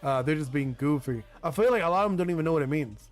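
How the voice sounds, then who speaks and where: A man's voice: fairly high pitch, expressive, fast. Chatty young man, music faintly behind. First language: Spanish. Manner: chatty, rambling, lots of "like" and restarts. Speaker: streamer designing a card game. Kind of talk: casual live-stream chat with viewers.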